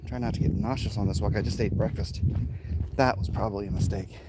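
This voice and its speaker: A man's voice: fairly low pitch, steady tone, fast. A middle-aged American man rambling casually to viewers, a little out of breath as he walks outdoors, offhand and self-deprecating. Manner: offhand, self-deprecating, talking while exerting himself. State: winded from walking uphill. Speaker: vlogger, artist. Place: outdoors, walking hilly residential streets. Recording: noisy outdoor walking recording with clicks.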